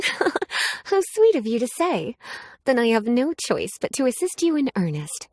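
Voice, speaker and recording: Genteel librarian, sly teasing, composed, high voice, lively intonation, game character. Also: female voice, unhurried, slight background noise. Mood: playful, doting. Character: teasing, elegant, unhurried adult woman librarian, doting and sly. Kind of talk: video game character acting.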